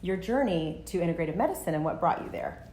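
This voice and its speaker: A woman's voice: on the low side, expressive, fast talker, darkish tone, a little hiss or hum. A woman hosting a health interview show, chatty and friendly, giving the intro and asking questions. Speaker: host and health coach.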